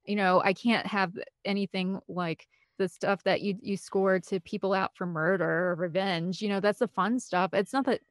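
A woman's voice: medium pitch, steady tone, moderate pace, hardly any pauses, dry recording, studio quality. A woman chatting as an interview guest, talkative and keen to explain things in a casual, conversational way. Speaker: guest, film composer and director.

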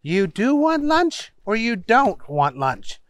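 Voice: mid-range voice, big pitch swings, slow, dry recording, studio quality, voice pushed up. A storyteller voicing a weary, exasperated male judge who questions insistently and spells out choices in a comic story.

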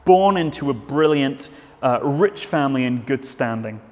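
A man's voice: medium pitch, expressive, normal speed, a little mellow, big-room sound. A man preaching a sermon in a church, earnest, with frequent restarts and fillers.

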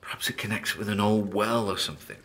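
A creaky low voiced ghost hunter dude, on edge, halting, talking into his recorder all alone, horror audio drama. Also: a bit bright. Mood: alarmed, disgusted. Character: nervous, driven male paranormal investigator recording himself alone. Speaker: paranormal investigator.

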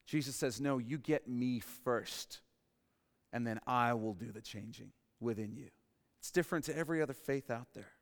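A man's voice: mid-range voice, normal speed. Emphatic male pastor in a reverberant hall. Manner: emphatic, repeats key phrases, drops to soft emphasis. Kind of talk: sermon. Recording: long reverb in a large hall.

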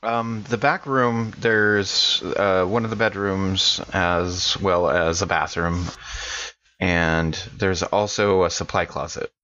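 A man's voice: low-pitched, steady tone, slow, bright, forceful tone, slight background noise. A man playing a tabletop roleplaying game, casually describing what his character does, on a slightly muffled recording.

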